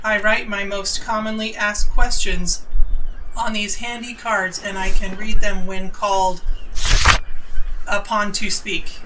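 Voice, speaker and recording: A woman's voice: mid-range voice, level tone, slow pace, far from the mic, heavy background noise, lightly acted. A woman playing an anxious professor who recites stiffly and carefully in an audio drama. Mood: anxious, stilted. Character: severely anxious professor reading from note cards.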